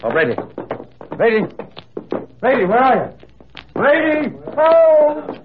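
A man's voice: very high voice, very animated, takes it slowly, slight background noise, heavily acted. An actor playing a tough male crime boss in an old radio drama, barking orders. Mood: commanding. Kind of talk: 1930s radio drama acting. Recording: tinny old radio recording with crowd.

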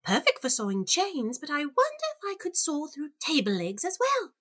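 Bright, high-pitched, curious prim girl played by a woman. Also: huge pitch swings, moderate pace, exaggerated voice. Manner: theatrical, prim, exaggerated wonder. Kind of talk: comic audio storybook parody acting.

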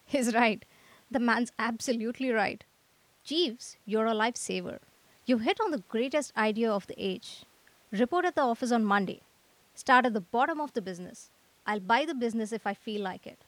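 Woman doing an impulsive young artist fella in a radio play, exclaiming in bursts, highish, very dry sound. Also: animated, normal speed, no room sound, close to an everyday voice. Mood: elated, frantic. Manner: exclamatory, rattling out short bursts. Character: excitable, impulsive young male artist friend. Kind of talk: radio comedy drama acting.

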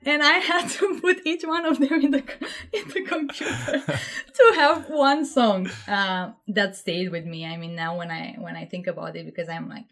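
A woman's voice: medium pitch, normal speed. A woman from Romania, talking casually as a podcast guest, laughing often and restarting her sentences with fillers. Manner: warm and giggly, with frequent fillers and restarts. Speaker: podcast guest, project management officer.

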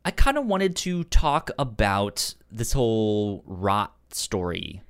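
Male podcast co-host, lowish pitch, stutters on syllables, halting but explanatory, casual book talk. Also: big pitch swings, clean tone. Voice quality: stutter, repeated sounds and syllables.

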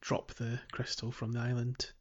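A man's voice: mid-range voice, completely flat delivery, normal speed, lo-fi sound. A man co-hosting a video game podcast in casual, enthusiastic chat, often feeling his way through a thought. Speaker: podcast co-host.

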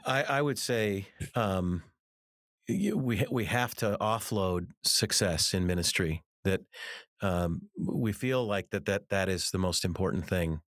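A man's voice: deep voice, measured pace, a bit bright, no echo, studio quality. A middle-aged American man speaking as an interview guest, earnest and conversational, telling anecdotes and repeating words for emphasis. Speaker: interview guest, author and ministry teacher.

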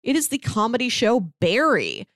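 A woman's voice: fairly high pitch, wildly swooping pitch, normal speed, a bit bright, no room sound, clean studio sound. A woman co-hosting a podcast in casual, explanatory conversation. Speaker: podcast co-host.

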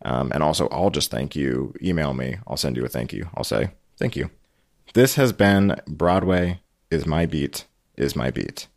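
A man with a very low voice hosts a podcast in a casual, thoughtful style. The sound is close and dry. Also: lively intonation, normal speed, vocal fry, dry recording. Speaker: podcast host.

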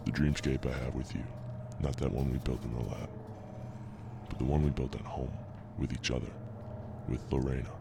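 An actor playing an obsessive visionary inventor and devoted husband in an audio drama, with a grave, measured delivery and a gravelly voice over a noisy recording. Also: male voice, a bass voice, fairly even intonation. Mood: sorrowful. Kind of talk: audio drama acting, partly recorded log narration. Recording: noisy amateur podcast recording.

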